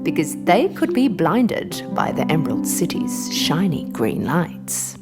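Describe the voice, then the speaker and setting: A woman narrating a scripted children's fairy tale in an expressive, dramatic way. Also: low voice, measured pace, gravelly. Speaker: storyteller, narrator. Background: music.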